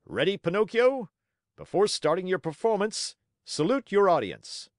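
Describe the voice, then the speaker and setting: Man playing a pompous circus ringmaster, pitched up. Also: very animated, normal speed, no echo, clean studio sound. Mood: commanding. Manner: grandiose, declamatory showman's address. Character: pompous, domineering male circus ringmaster showing off animals.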